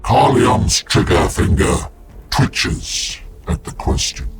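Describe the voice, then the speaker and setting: A tormented seer-soldier from a sci-fi war game, with music loud behind him. He speaks very slowly and breathily, halting between cryptic, portentous phrases. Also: medium pitch, gravelly, exaggerated voice. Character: haunted, visionary psychic space marine, tormented and cryptic. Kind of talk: dramatic game voice acting.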